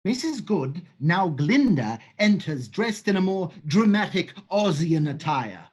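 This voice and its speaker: A measured reader speaks the stage directions of a play. The voice is husky and breathy, mid-pitched, with lots of rise and fall. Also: big pitch swings, a little mellow, lo-fi sound.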